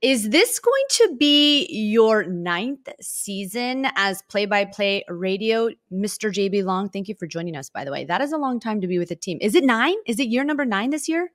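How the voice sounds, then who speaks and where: A woman's voice: mid-range voice, lively intonation, moderate pace, hardly any pauses, dry recording, studio quality. A woman hosting a casual sports interview, chatty and informal in the way she talks. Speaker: sports show host interviewing a guest.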